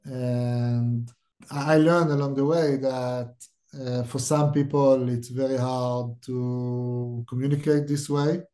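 A middle-aged man with an Israeli accent speaks in a warm, dark, mellow tone. He answers questions directly, thinking aloud and restarting his sentences as he works toward his point. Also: medium pitch, fairly even intonation, takes it slowly. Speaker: guest, startup CEO. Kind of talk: podcast interview.

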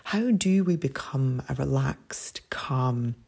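A middle-aged Scottish woman hosting a podcast, talking in an earnest, rambling way with many fillers, her voice deepened and husky after a late night. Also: animated, slow, mellow. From Scotland. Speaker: podcast host and somatic coach. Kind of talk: reflective solo podcast talk.

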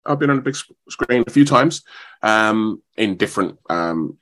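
A man, talking as a guest in a radio interview in a modest, measured, slightly halting way. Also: fairly low pitch, lively intonation, fairly bright tone. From London, England. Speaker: actor, radio show guest.

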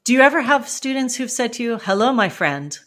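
A woman's voice: on the high side, big pitch swings, brisk, a bit bright. A woman from western Canada with a West Coast Canadian accent, hosting a language-learning podcast and explaining things in a friendly, conversational way. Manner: friendly, explanatory, acts out example phrases. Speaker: podcast host and ESL teacher.

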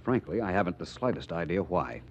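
A self-assured gentleman detective from a 1940s radio mystery, low-voiced and urbane. His witty, articulate lines swing with lively intonation. The recording is old and muffled. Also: a little fast, slight background noise. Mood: cool, probing. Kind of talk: 1940s radio drama acting.

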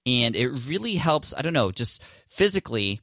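A man's voice: medium pitch, sing-song, normal speed, bright, forceful tone, dry recording, clean studio sound. A man hosting a podcast, talking casually and encouragingly, often restarting his phrases. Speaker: podcast host. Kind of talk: casual advice monologue. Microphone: podcast microphone, standing.

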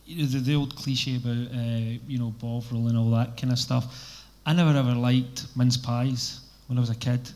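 A man's voice: mid-range voice, steady tone, normal speed, few pauses, a little mellow. A Scottish man in his fifties, a record label boss guesting on a live panel, telling stories in a rambling, casual way. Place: live event venue.

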